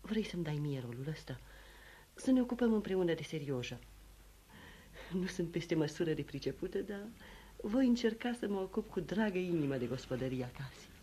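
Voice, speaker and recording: An actress playing a solicitous, effusive aristocratic princess in old radio theatre style. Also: female voice, lowish voice, very animated, mellow, slightly rough. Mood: solicitous, determined. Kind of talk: vintage radio theatre acting. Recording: noisy vintage radio recording.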